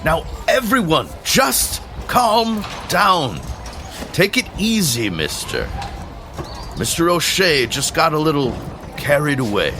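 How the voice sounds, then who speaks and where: An actor playing an older gentleman in an audio drama, urgently placating and trying to keep the peace. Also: fairly high pitch, sing-song, takes it slowly, music in the background, heavily acted. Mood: alarmed, placating.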